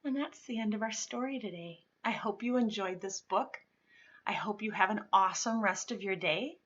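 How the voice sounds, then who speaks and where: A woman reading a children's storybook aloud expressively, with dramatic, drawn-out emphasis on words. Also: mid-range voice, a bit bright, muffled recording, a little hiss or hum. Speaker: storybook reader.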